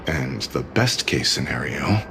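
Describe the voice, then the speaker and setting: An actor playing a blunt, pragmatic messenger and guard in a video game, speaking in a measured, cynical, matter-of-fact way. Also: male voice, rumbling low, expressive, vocal fry, over music, exaggerated voice. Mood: grim, blunt. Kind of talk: scripted game voice acting.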